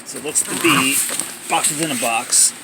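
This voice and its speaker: A man's voice: mid-range voice, moderate pace, noisy background. A man talking casually and off the cuff as he narrates an unboxing video, recorded indoors at home on a handheld cell phone. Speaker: amateur unboxing video maker.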